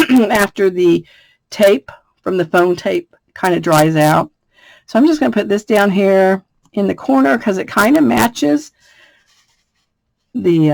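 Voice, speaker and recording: A woman from the United States with a slightly raspy voice, chatting through a card-making tutorial and laughing between steps. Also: lowish voice, no echo, rough recording. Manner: chatty, laughs often, thinks aloud. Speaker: craft demonstrator. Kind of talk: casual craft tutorial.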